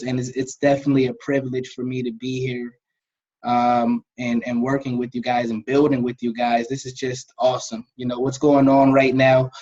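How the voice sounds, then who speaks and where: A hoarse man getting over a cold, speaking as the guest trainer on a call in a friendly, loose way. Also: mid-range voice, a little mellow. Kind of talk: motivational talk on a call. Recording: dull lo-fi call audio.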